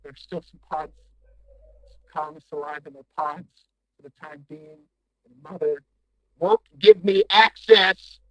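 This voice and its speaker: A man's voice: on the high side, unhurried, pauses often, no room sound, rough recording. A man playing a desperate, shaken corporate agent stranded after a crash, stammering and pleading in a recorded sci-fi distress message. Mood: weary, hopeless.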